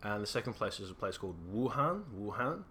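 A man's voice: lowish voice, sing-song, brisk, fairly bright tone, slight background noise. A young man from Australia, a guest chatting casually on a podcast, rambling and laughing often as he talks.

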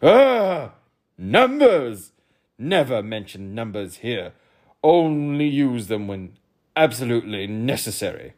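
A man voicing a huge, irritable old king who booms out slow, commanding orders. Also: big pitch swings, a little mellow. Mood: imperious.

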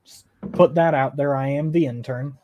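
A man's voice: medium pitch, steady tone, normal speed, muffled recording, slight background noise. A young man, an engineering student, answering interview questions casually and hesitantly, with many fillers. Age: young adult. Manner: hesitant, filler-heavy, laughing at himself.

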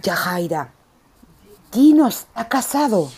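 A woman's voice: mid-range voice, very animated, takes it slowly, a little mellow, husky, full character voice. A woman playing a bossy, protective stepmother in a radio soap opera. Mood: stern, urgent. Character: bossy, protective Spanish stepmother guarding family honour.

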